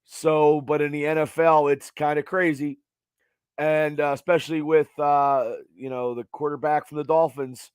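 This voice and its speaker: Hoarse male podcast host, slightly high pitch, halting delivery full of fillers, dry close mic. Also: level tone, no room sound. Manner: halting, many fillers and restarts. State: hoarse, losing his voice. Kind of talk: casual sports podcast talk.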